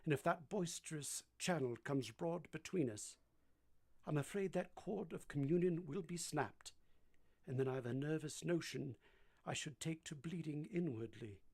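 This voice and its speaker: A man playing a brooding, commanding gentleman who is both teasing and ardent, in a theatrical vintage-style radio drama. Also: fairly high pitch, measured pace, creaky, husky, heavily acted. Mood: wistful, tender.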